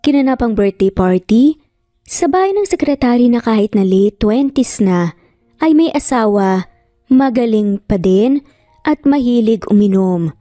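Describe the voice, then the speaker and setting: A woman narrates a scripted romance drama as a storyteller. Her voice is fairly high and soft-edged. Background music runs beneath the narration. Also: animated, a little slow, dry recording, clean studio sound.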